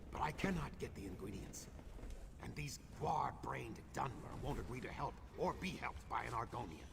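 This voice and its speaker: Gravelly lizard-folk healer. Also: male voice, medium pitch, expressive. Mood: solemn. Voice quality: gravelly, measured and solemn. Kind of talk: video game character dialogue. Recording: game audio over background noise.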